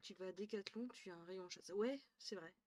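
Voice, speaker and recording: A young woman streaming from a small room at home, talking into her headset. She is tired and chatty, thinks out loud, swears lightly and often trails off into low murmurs. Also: normal speed. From northern France. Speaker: Twitch streamer. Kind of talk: casual live-stream chat with viewers.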